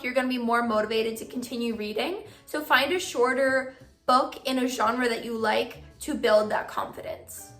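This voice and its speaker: A young woman vlogging casually to her viewers, giving friendly reading tips, her voice a bit hoarse from losing it. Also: high-pitched, fairly bright tone, echoey room. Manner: friendly advice-giving, filler "like". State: partly lost voice, hoarse. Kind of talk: casual vlog talk to camera.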